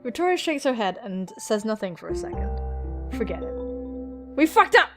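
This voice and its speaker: Casting: young female gaming host, giggly and excitable, bright-pitched and highly animated, music underneath. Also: fairly quick. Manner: excitable, squealing, giggly, swearing. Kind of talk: playthrough reading visual novel aloud with excited reactions.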